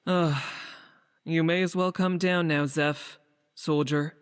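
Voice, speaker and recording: Man acting secretive rebel leader, fantasy game, higher male voice, calm, evasive, long reverb. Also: slow, clear, resonant tone. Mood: wry, stern. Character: guarded, secretive leader of a hidden group.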